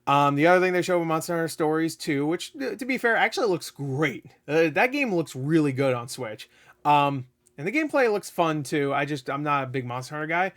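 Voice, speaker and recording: Guy with a higher voice rambling excitedly about games, laughing and swearing, kinda raspy. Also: brisk. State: voice getting raspy. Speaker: gaming video host. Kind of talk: casual unscripted review.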